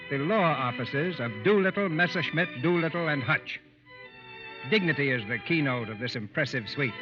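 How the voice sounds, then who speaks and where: A man narrating a radio play in old-time scripted style, a wry, lyrical storyteller with a measured, theatrical delivery. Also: a little high, close to an everyday voice. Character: wry, lyrical male storyteller setting the scene. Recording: muffled vintage radio recording. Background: orchestral music with strings.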